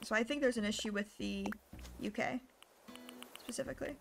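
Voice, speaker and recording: A young woman streamer with a rough, hoarse voice, chatting casually and rambling, often poking fun at herself. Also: on the high side, brisk. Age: young adult. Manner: rambling, self-deprecating, chatty. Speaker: live streamer. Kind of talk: casual gaming stream chat. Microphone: desk microphone. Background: music playing.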